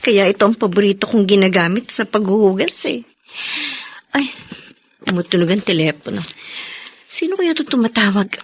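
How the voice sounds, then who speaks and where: A woman's voice: medium pitch, animated, normal speed, pauses often, breathy edge. A woman with a Filipino accent playing a long-suffering young single mother, earnest and emotional, in a radio drama. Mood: chatty.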